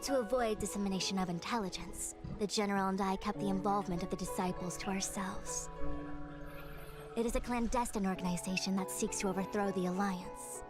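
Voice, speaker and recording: A woman's voice: medium pitch, steady tone, fairly quick, music in the background, natural voice. An actress playing a poised, proud woman diviner and commander in a video game, speaking formally and precisely. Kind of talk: video game dialogue acting.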